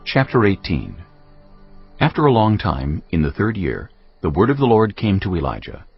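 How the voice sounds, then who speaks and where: A man's voice: deep voice, very animated, normal speed, vocal fry, husky, dull audio, faint music behind. A man narrating scripture as a scripted drama, in a solemn storytelling manner.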